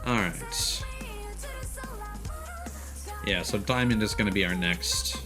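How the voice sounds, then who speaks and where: Low-pitched man streaming a video game. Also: slow pace. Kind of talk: casual livestream gameplay commentary, explaining to chat. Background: music behind the voice.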